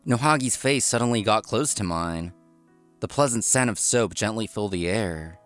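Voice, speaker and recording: A man's voice: fairly low pitch, lively intonation, moderate pace, lightly acted. A man playing an earnest, work-obsessed young restaurant owner who narrates his own manga story and gets flustered easily. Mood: earnest, reflective. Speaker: narrator and lead character. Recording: music behind the voice.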